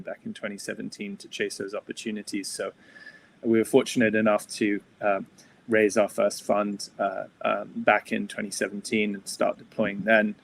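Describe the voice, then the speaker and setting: A middle-aged man with a low, gravelly voice that stays level in pitch. He introduces himself haltingly, stumbling over filler sounds and restarting his sentences, with a faint hiss of noise behind him. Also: a bit bright, poor audio. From Switzerland. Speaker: venture capital investor. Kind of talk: panel self-introduction.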